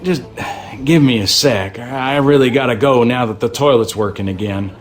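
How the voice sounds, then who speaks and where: A male actor voices a helpful small-town man with a drawl. He speaks casually and neighborly, as in a video game adventure, at a middling pitch with clear music underneath. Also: moderate pace, close to an everyday voice. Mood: friendly. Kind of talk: video game dialogue acting.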